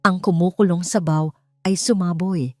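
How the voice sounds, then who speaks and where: Low-pitched woman narrating a dramatic story. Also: steady tone, normal speed, mellow, no room sound, studio quality, faint music behind.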